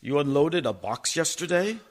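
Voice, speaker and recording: Actor as an earnest, animated young diarist. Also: male voice, fairly high pitch, moderate pace. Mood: questioning. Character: earnest, anxious young man keeping a journal. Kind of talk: radio play narration.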